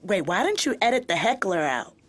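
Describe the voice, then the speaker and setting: An actress in her twenties playing a sardonic, quick-witted young office worker in a scripted comedy, slangy and sarcastic. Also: medium pitch, very animated, natural voice. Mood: teasing. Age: 20s. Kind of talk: scripted workplace comedy acting.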